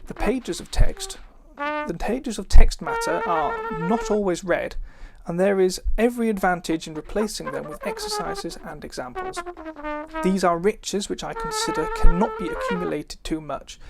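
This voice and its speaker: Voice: mid-range voice, wildly swooping pitch, moderate pace, loud music behind the voice. A person with a female-sounding voice reads an old, formal book introduction aloud, occasionally stumbling over words. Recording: cornet playing over the voice. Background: live brass cornet playing.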